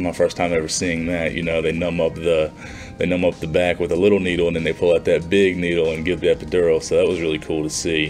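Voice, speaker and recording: Low-voiced young man chatting casually. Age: 20s. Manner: informal, conversational, chatty. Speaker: nursing student vlogger. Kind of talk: casual vlog talk to camera. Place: small plain indoor room.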